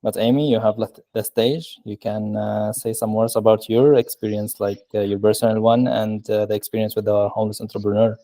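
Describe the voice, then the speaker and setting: A man's voice: on the low side, level tone, brisk, few pauses, dark tone. A man from Palestine hosting an interview, brief and encouraging as he hands over to the next guest. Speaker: podcast host.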